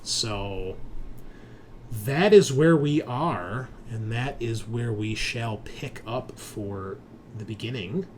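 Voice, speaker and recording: A man's voice: medium pitch, slow pace. A man narrating a tabletop roleplaying game as the game master. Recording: noticeable background noise.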